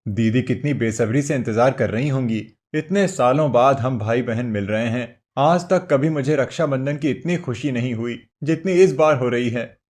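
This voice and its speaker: A man playing a gentle, devoted younger brother in a cartoon moral story, earnest and respectful in manner. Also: medium pitch, moderate pace, hardly any pauses, lightly acted. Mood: happy, eager.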